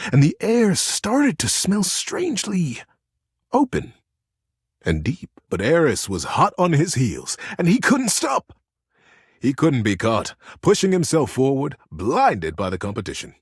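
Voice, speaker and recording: An actor voicing a gentle, earnest storyteller character from a game, narrating a bedtime tale with dramatic pauses. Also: male voice, mid-range voice, breathy edge, no room sound, studio quality, full character voice. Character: gentle male teddy bear storyteller, tender and earnest.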